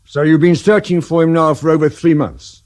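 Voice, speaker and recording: An actor playing a skeptical, gruff senior police superintendent in a radio drama, speaking brusquely. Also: male voice, on the high side, fairly even intonation, moderate pace, darkish tone, close to an everyday voice.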